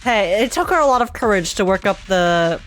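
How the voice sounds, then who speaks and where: A woman streamer giving casual, slangy and excitable live reactions to a video game. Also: mid-range voice, brisk, faint music behind.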